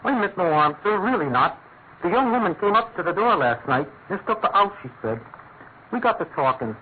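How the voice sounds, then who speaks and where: An actor playing an earnest, deferential police constable in a classic radio drama, chatty and apologetic. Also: male voice, sits high, lively intonation, a little fast. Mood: sheepish. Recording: tinny, narrow-band old radio, faint noise.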